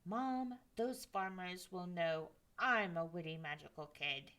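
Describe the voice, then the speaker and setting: A woman's voice: on the low side, slow, a bit bright. A woman voicing Jack Frost, a restless, boastful young boy, in a children's story reading. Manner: boastful, impatient.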